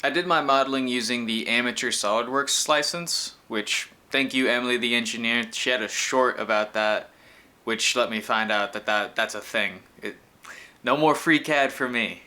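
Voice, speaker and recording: Male host, twenties, bright tone, mid pitch, informal technical explainer. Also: normal speed. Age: 20s. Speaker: host explaining his electronics project. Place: small room indoors.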